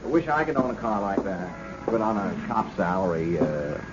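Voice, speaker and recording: An actor playing an earnest, bashful young rookie policeman in a 1970s radio drama, halting, awkward and folksy. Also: sits low, very animated, over music. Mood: casual, curious. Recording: dull, noisy vintage radio broadcast.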